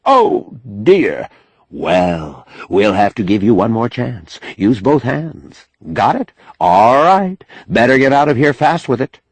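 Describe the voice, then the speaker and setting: A man's voice: mid-range voice, very animated, slow, slightly rough, no room sound, pitched above the performer's own voice, full character voice. A man voicing a stage magician with mildly puzzled, offhand showman's patter in an audiobook. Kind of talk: audiobook character acting.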